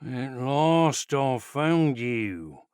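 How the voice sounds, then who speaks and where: Slow, theatrical tavern rogue. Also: male voice, medium pitch, lively intonation, darkish tone, clear, resonant tone, dry recording, studio quality. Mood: melodramatic menace. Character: gloomy, hammy actor playing at jealous rogue. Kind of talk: audio play acting.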